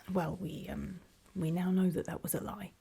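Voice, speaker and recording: A woman playing a nervous, apologetic apocalypse survivor in a horror ASMR roleplay, in a soft, breathy whisper with a halting, self-conscious delivery. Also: fairly low pitch, flat delivery, full character voice. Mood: grave, hesitant. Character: nervous, apologetic female zombie-apocalypse survivor recording a warning.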